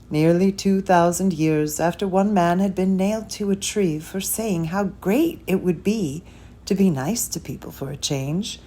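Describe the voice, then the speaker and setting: A woman giving a scripted literary narration, reading a story in measured, deliberate phrases with pauses. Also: mid-range voice, dark tone, slight background noise.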